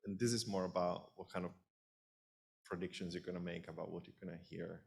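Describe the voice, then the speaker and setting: A man in the audience asking a follow-up question at a conference, halting and full of restarts. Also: low-pitched, steady tone, vocal fry, a little mellow.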